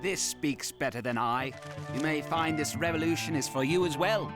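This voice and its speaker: A proud, earnest revolutionary writer, played by a man, with a slightly raised male voice. He declaims with principle in a cartoon, over loud music. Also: on the high side, moderate pace, lightly acted.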